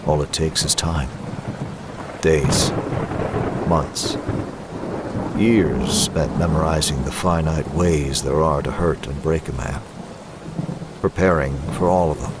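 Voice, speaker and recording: A man playing a grim, relentless vigilante hero in a motion comic, speaking with controlled intimidation over loud dramatic music. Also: a bass voice, slow, long pauses, very noisy, heavily acted. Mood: cold resolve. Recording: loud dramatic score and storm behind voice.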